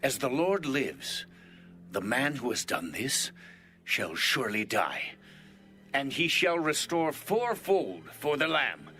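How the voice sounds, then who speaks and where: An ancient king in a bible audio drama, declaiming, sharp bright voice, big swings in pitch. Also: very animated, slow pace, faint music behind, heavily acted. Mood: righteous anger. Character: indignant male king of ancient Israel. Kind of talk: dramatized scripture acting.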